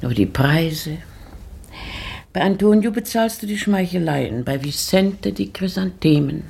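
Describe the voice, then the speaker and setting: An actress playing a pious widowed lady in a German radio play, brooding and searching her memory with halting, formal diction and a breathy voice. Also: lowish voice, expressive, darkish tone, exaggerated voice. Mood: stern, weary.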